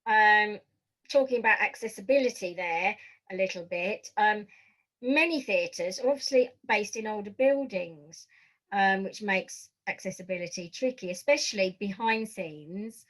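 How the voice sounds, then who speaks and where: Woman interviewing, mid-pitched voice. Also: a little slow, a bit bright, rough recording. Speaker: podcast host, interviewer. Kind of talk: conversational interviewing.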